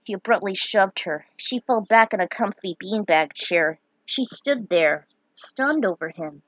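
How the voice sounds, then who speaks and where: A woman's voice: mid-range voice, measured pace, a bit bright, no room sound, low-quality recording. A woman reading a fan fiction story aloud, dramatic in her telling and given to opinionated asides. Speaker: narrator.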